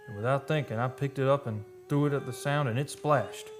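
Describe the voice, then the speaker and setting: An actor playing a haunted, obsessed oil driller confessing a horror in a halting, brooding radio monologue. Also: male voice, mid-range voice, over loud music, lightly acted. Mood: tense, fearful. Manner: halting, brooding, dramatic storytelling.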